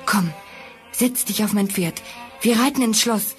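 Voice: mid-range voice, unhurried, faint music behind, lightly acted. An actor playing a noble, determined young prince in a fairy-tale audio play, speaking earnestly and formally. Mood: tender, resolute.

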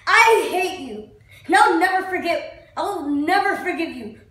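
A high-voiced, wounded young character played by a woman delivers a bitter dramatic monologue. The manner is accusing, intense and emotional, like amateur stage acting. It rings with heavy room echo. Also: expressive, moderate pace. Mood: bitter, furious. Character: bitter, wounded young person angrily confronting God. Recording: very echoey, reverberant room.

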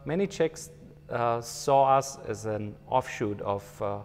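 A man's voice: mid-range voice, animated, slow, a little mellow, soft background music. Slovak man, 40s, guest interview, hesitant and thoughtful, hall echo on a lapel mic. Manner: thoughtful, many hesitations and fillers. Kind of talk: conversational interview. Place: empty hall with a stage.

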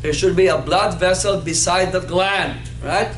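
High-voiced guy in his 50s teaching anatomy, playful, echoey classroom, far off camera. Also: unhurried. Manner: animated, playful, asks the class questions. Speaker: anatomy instructor. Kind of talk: classroom lecture with call-and-response. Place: classroom in front of a whiteboard. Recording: echoey classroom with background noise.

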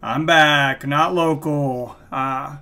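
A man in his forties with an American accent, giving casual, halting, conversational play-by-play as the host of a live sports stream. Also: a little high, fairly even intonation, sharp tone. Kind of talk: casual commentary mixed with chat. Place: small room, indoors, streaming at a desk.

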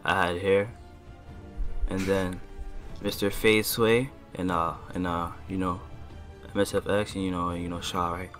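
A man's voice: sits low, little pitch movement, moderate pace, long pauses, a bit bright, soft background music. A young man chatting jokingly over a game, teasing and often repeating himself in a stammer. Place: online game voice chat.

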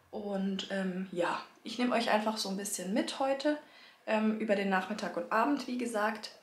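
A woman in her thirties with a slightly hoarse voice from a cold, chatting casually to viewers about her day at home while filming herself with a phone held at arm's length. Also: mid-range voice. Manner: chatty, talks straight to viewers with many fillers. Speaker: family vlogger, mother of three. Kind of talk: casual vlog chat to camera. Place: child's bedroom and rooms at home, indoors. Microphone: phone camera held at arm's length.

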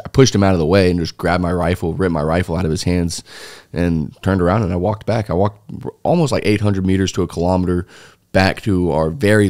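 A man tells his story to a podcast host in a low, deep voice. He rambles loosely, full of fillers and restarts. The studio mic is close and the sound is dry. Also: a little mellow, dry recording. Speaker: interview guest, former foreign volunteer fighter. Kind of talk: casual interview storytelling. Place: small dark podcast studio. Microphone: studio mic on boom arm.

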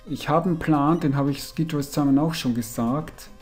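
A man with a broad Austrian dialect, mixing Carinthian and Viennese sounds, talks his way through a retro platformer. His voice is dark and mellow, and he mutters and grumbles at the game between chatty remarks. Faint game music plays underneath. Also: a little high, moderate pace. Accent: Austrian, Carinthian and Viennese dialect mix. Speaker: let's player. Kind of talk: casual gaming commentary.

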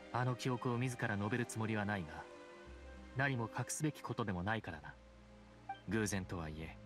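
An actor playing a dignified, aloof judge in a game, speaking in a formal, measured, reserved way. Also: male voice, lowish voice, close to an everyday voice. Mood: calm, reflective. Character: solemn, dignified supreme judge, an aloof grown man. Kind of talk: Japanese game voice acting.

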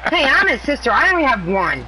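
A woman's voice: high voice, very animated, a little fast, over a noisy crowd, poor audio. A woman co-commentating on a casual wrestling game stream, giggling often and teasing the others.

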